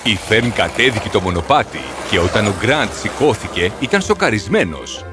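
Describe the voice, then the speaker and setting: A man's voice: on the low side, sing-song. Man narrating a fairy tale over music.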